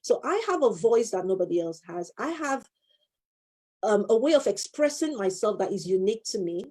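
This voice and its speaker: A woman's voice: medium pitch, lively intonation, moderate pace, a little mellow. A woman in her fifties, a life coach and former solicitor, giving an earnest motivational talk and repeating her key phrases for emphasis.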